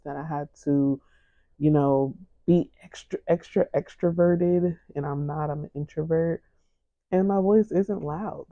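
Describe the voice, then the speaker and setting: A woman podcast host giving a gentle, encouraging faith-based talk in a soft, low, soothing voice. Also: no room sound, muffled recording.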